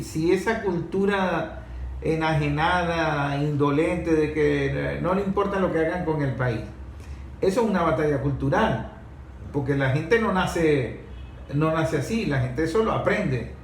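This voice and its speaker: A Venezuelan man with a fairly high voice gives off-the-cuff political commentary. He is emphatic and colloquial, often posing rhetorical questions. The room is clearly echoey. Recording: echoey room with faint noise.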